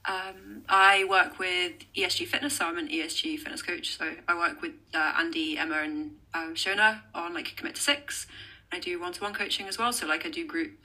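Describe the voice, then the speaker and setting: A woman's voice: lowish voice, steady tone, brisk, bright, forceful tone, rough recording. A woman from England, a guest coach on a podcast, chatting casually with lots of "like" fillers. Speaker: guest, online fitness coach.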